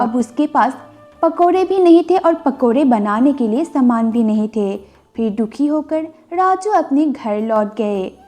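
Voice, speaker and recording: A woman's voice: on the high side, darkish tone. A woman narrating a scripted moral bedtime story as a storyteller. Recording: music bed under the voice. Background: background music.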